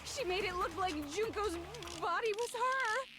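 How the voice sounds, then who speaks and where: Woman voicing a slow, very high-pitched schoolgirl. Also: background noise. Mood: insistent. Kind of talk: amateur voiced reading of game dialogue. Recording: game music behind the voice.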